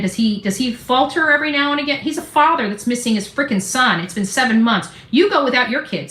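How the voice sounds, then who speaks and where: A woman's voice: medium pitch, a bit bright. A woman giving informal, opinionated online commentary as a host, blunt, defiant and combative, with salty slang. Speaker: YouTube true-crime commentary host. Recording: echoey, roomy sound.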